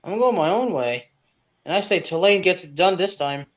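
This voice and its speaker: Higher-pitched man, muffled and echoey. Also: lively intonation, moderate pace. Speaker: sports podcast co-host. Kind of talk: casual sports chat, brief picks. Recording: muffled, echoey room sound.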